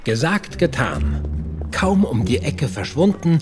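A man playing an earnest period investigator who narrates his own case in a measured, literary audio drama style. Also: a little high, wildly swooping pitch, heavily acted. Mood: suspenseful narration.

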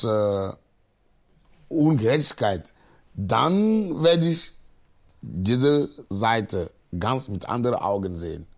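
A man who is not a native speaker, giving his opinion on a story in broken, halting phrases. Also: lowish voice. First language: not German. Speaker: participant commenting on a film story for a radio play. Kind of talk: spontaneous opinion.

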